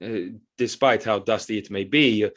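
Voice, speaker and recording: A man playing a flattering, deferential court artist who speaks in a formal, halting way in an audio drama. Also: fairly low pitch, lightly acted. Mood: earnest. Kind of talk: theatrical audio drama acting.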